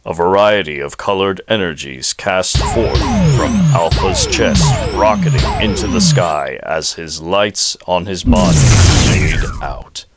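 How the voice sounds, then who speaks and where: A man's voice: deep voice, sing-song, slow pace, hardly any pauses, loud music behind the voice. A man reading scripted narration for a fan-made audio drama. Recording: noisy home recording with clicks.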